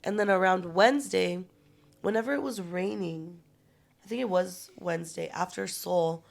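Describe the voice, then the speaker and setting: A woman's voice: fairly low pitch, normal speed, gravelly. A young woman co-hosting a casual chat podcast, congested and partly voiceless, telling stories loosely with plenty of swearing. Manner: loose, profane, storytelling. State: congested, lost voice. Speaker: podcast co-host.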